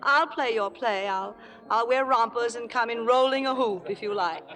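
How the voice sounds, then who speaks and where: A jealous, biting stage diva. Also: female voice, on the high side, moderate pace, fairly bright tone. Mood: bitter. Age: middle-aged. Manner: theatrical, biting sarcasm. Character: jealous, sharp-tongued forty-year-old stage actress. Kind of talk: old-time radio drama acting. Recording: vintage 1940s-50s radio broadcast.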